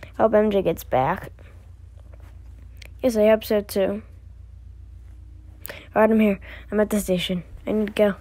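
A woman doing amateur voice acting, playing all the characters of an animated story herself. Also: mid-range voice, measured pace, long pauses.

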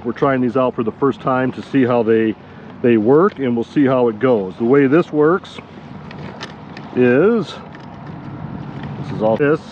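A man's voice: mid-range voice, dull audio, background noise. A man casually reviewing a fishing kayak while out on the water, talking things through with lots of fillers. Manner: easygoing, lots of uh fillers, explains as he goes. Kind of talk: casual outdoor review vlog. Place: on a kayak out on open bay water.

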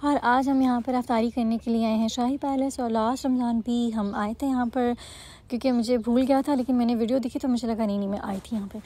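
A woman's voice: fairly high pitch, fairly even intonation, fast, few pauses, mellow. A woman in her thirties chatting casually for a family vlog, rambling with fillers and corrections, filmed on a phone in a noisy restaurant. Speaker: vlogger. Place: busy restaurant. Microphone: phone camera.

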